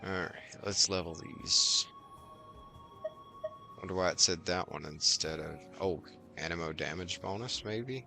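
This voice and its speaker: Deep, gravelly, slow-talking man streaming. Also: sharp tone. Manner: musing aloud, answering chat. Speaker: variety game streamer. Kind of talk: casual live game stream commentary. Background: game music.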